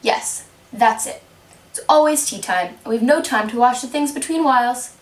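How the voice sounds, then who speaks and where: A woman's voice: on the high side, moderate pace, a little hiss or hum, lightly acted. A woman voicing a brusque, contrary tea-party host in an amateur puppet show, speaking insistently. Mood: matter-of-fact. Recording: roomy, distant microphone.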